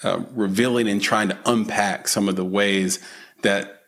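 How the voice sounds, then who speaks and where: Low-voiced male host, halting. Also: steady tone, fairly bright tone. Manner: halting, many fillers and restarts, laughs easily. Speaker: podcast host, interviewer. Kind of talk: casual interview.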